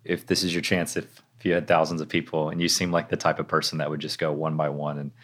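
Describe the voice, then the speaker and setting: A man's voice: very deep voice, level tone, fast talker, a bit bright. A man hosting an interview, asking long, rambling, encouraging questions. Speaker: podcast host. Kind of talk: conversational interviewing.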